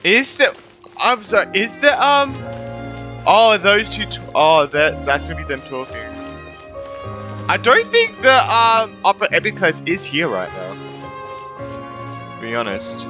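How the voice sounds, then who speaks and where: A man's voice: high voice, wildly swooping pitch, measured pace, long pauses, bright, forceful tone, faint music behind. A man giving casual, slangy reactions while playing a video game, with hesitant asides. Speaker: gamer reacting to a story quest.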